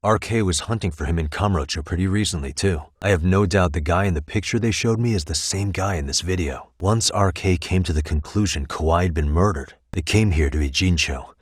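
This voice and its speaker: A level-headed private detective from a video game, speaks in a low voice. He is sharp and wry, with a slightly husky edge. The sound is dry and free of room echo. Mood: serious, deducing. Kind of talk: English game voice acting, detective dialogue.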